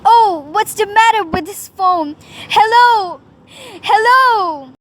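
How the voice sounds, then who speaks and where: Girl acting as very high-voiced anxious invalid wife, bossy n whiny, radio play, bit of background noise. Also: lively intonation, takes it slowly, fairly bright tone, heavily acted. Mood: irritated, frantic. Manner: agitated, complaining, imperious. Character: nervous, demanding invalid wife, shrill and self-pitying.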